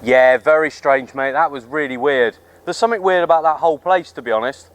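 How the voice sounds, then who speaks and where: A man's voice: on the high side, brisk. A man chatting casually outdoors, with informal, matey slang, like a radio hobbyist making a vlog. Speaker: amateur radio operator and vlogger. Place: outdoors on a hill. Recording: clear outdoor background noise.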